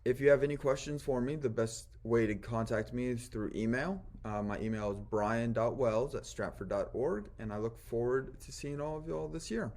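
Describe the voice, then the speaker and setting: A man's voice: medium pitch, normal speed, few pauses, darkish tone. An American man, a Latin teacher, giving an informal introduction to his course.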